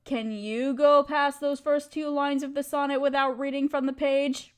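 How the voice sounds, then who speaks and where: A woman's voice: sits high, level tone, moderate pace, lightly acted. A woman playing a playful, affectionate wife who loves poetry, theatrical and teasing, in a romantic audio roleplay.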